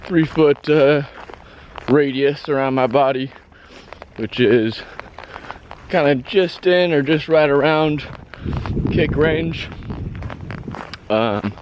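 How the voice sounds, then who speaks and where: A hoarse man vlogging casually while hiking, rambling and halting, with frequent laughs. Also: a little high, a little hiss or hum, poor audio. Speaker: hiker vlogger. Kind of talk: casual trail vlog. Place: outdoors on a rural trail.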